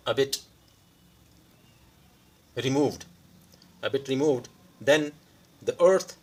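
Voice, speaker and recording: A man who is a non-native English speaker gives an unscripted hands-on repair demonstration, pausing mid-sentence in short phrases. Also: mid-range voice. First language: not English. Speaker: electronics repair tutorial host.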